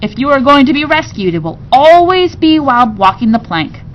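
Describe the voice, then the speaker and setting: A woman's voice: high voice, big pitch swings, normal speed, highs cut off, slight background noise, pitched above the performer's own voice. Woman reading as an earnest, unflappable storybook dad. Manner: polite, matter-of-fact, insistent.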